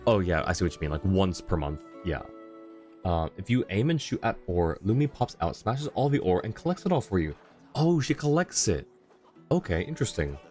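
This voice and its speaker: Deep-voiced man chatting on a game stream. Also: sing-song, fairly quick, darkish tone. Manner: conversational, reading chat comments aloud. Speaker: game livestreamer. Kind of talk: casual livestream chat, answering viewers. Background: faint game music.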